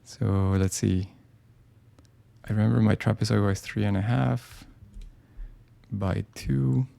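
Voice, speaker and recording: A man's voice: lowish voice, steady tone, slow, creaky, mellow. A man walking through a design tutorial live on screen, talking casually and thinking aloud, with frequent ums and restarts. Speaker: design software presenter.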